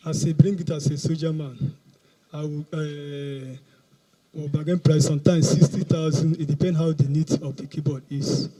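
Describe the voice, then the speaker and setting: A man's voice: a little high, steady tone, moderate pace, warm tone, low-quality recording. A man in his thirties from Nigeria, with a Nigerian accent, answering questions publicly as a suspect on a handheld microphone outdoors. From Anambra, Nigeria. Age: 30s. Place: outdoors in a police compound.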